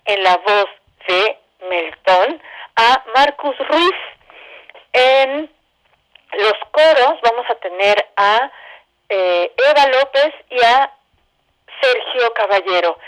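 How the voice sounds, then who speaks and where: A woman's voice: a little high, rough recording. A woman with a Mexican accent speaking courteously as a guest in a radio interview. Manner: courteous, gracious. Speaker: theatre director and interview guest.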